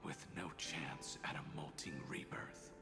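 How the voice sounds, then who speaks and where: An actor playing a solemn, weary leader in a game, with a measured, grave and formal delivery. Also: male voice, rumbling low, steady tone, vocal fry, heavily acted. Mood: grave, resolute. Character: solemn, weary male Vidyadhara leader with hidden anguish. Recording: long echo, music behind.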